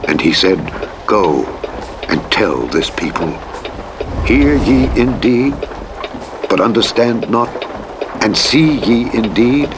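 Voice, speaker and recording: A man reading scripture as a narrator in a solemn, measured, declamatory manner. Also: fairly low pitch, animated. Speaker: audiobook Bible narrator. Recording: engine and road noise over playback. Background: truck simulator vehicle noise.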